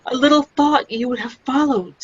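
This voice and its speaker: An amateur actress reading a faint, remorseful queen in a Shakespeare play, with drawn-out, sighing delivery. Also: high voice, a little mellow, breathy edge. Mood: faint, pleading. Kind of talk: amateur Shakespeare read-aloud. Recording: dull lo-fi video call, faint music.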